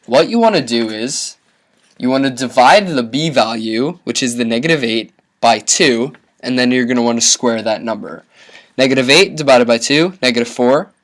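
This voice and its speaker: A young man presenting a math tutorial, explaining each step in an instructional way. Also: medium pitch.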